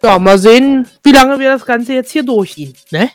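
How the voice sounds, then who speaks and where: Eine Frau in ihren Zwanzigern aus Deutschland, Co-Moderatorin eines Podcasts, plaudert entspannt und neckt gern. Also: female voice, on the high side, moderate pace, no room sound, faint music behind. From Germany. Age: 20s. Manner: relaxed, teasing. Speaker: podcast co-host. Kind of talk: casual chat.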